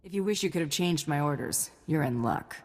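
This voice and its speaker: Cool alien mercenary for a game: low, husky, deadpan, confident and terse. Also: female voice, fairly quick, long reverb tail. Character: cool, tough female alien bounty hunter.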